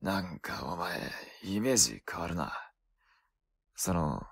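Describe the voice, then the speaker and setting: An actor playing a gruff, hot-tempered young male werewolf in a voice drama, with rough, cocky slangy speech. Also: sits low, animated, takes it slowly, a bit bright, exaggerated voice. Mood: gruff, suspicious. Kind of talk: Japanese voice drama acting.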